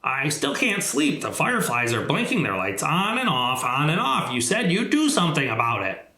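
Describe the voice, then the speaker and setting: Small storybook gecko male reader, sleepless and whiny, insistent complaints, lively pitch, roomy echo. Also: moderate pace, exaggerated voice. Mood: complaining. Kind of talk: children's storybook read aloud.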